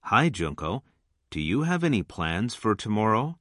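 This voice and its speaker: Man as a friendly schoolboy with animated intonation. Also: fairly low pitch, moderate pace, no echo, clean studio sound. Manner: careful, clearly enunciated, friendly. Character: friendly male junior high school student. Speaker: listening test voice actor. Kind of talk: scripted English lesson dialogue.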